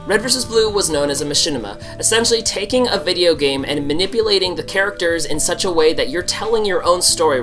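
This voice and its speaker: A man hosting a casual music review vlog, talking like an eager fan while congested from a cold. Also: a little high. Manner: enthusiastic fan talk with some swearing. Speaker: vlogger and show host. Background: band music playing.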